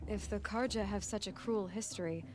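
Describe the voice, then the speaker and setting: An actress playing a young outcast hunter who asks short, direct questions. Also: female voice, mid-range voice, moderate pace, natural voice. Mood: curious. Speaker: protagonist. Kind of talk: video game cutscene dialogue. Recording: game audio with ambient noise.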